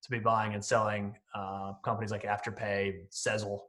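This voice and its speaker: A man's voice: fairly low pitch, little pitch movement, normal speed, pushed and bright. A man in his late thirties answering as a podcast guest in a conversational, explanatory way with some hedging. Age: late 30s. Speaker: podcast guest, company CEO.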